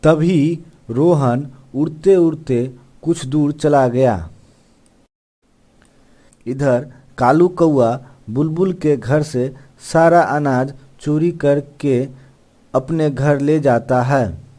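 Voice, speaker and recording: A man's voice: on the high side, drawn-out words, dark tone. A man reading scripted narration for a children's cartoon story.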